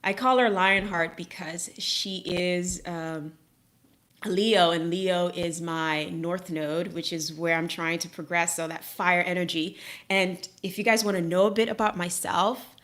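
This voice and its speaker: A woman in her thirties, originally from Haiti, hosts a casual live chat with her viewers. Her voice sits on the low side, and she muses aloud in a loose, reflective way, often pausing on fillers and restarting her sentences. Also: fairly even intonation, a bit bright. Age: 30s.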